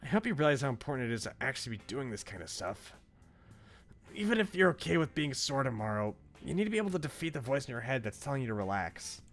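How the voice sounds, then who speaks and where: A man's voice: mid-range voice, very animated, fast, a bit bright. A man voicing a bossy, brash young female workout buddy from a visual novel, scolding and emphatic. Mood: earnest coaching. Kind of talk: visual novel character read-aloud. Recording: game music behind the voice.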